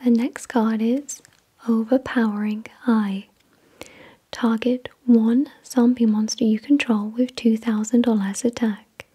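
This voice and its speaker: Breathy whispering woman, soft ASMR. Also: on the high side, little pitch movement. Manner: slow, deliberate, soothing reading. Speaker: ASMR video host. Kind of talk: whispered ASMR card reading.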